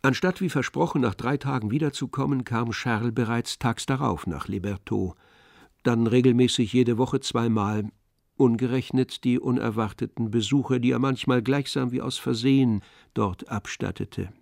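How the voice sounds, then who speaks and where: A man narrating a literary story in a measured, descriptive manner. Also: medium pitch, gravelly, darkish tone, dry recording, studio quality.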